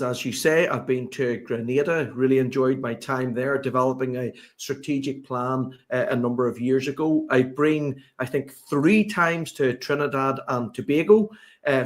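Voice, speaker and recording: A man with a Belfast accent, a sports consultant talking as a guest on a podcast, chatty and full of fillers and restarts. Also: medium pitch. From Northern Ireland. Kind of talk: podcast interview.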